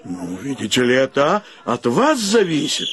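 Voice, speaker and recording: An actor playing an exuberant, joking gentleman with ornate courtesy and theatrical exclamations in a radio play. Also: medium pitch, wildly swooping pitch, a little slow. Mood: apologetic, courteous. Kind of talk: Russian radio play acting.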